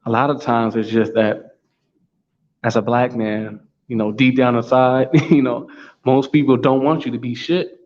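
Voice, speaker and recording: A man's voice: medium pitch, little pitch movement, unhurried, darkish tone, highs cut off. An American man hosting his own talk show, speaking in a solo monologue and driving his points home by saying them again and again. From United States. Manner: repetitive, emphatic, restating points.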